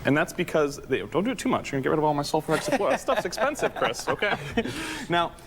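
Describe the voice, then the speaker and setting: A man's voice: on the high side, sing-song, fast, noisy background. A man giving a casual, enthusiastic explanatory science demonstration as a guest, informal and jokey.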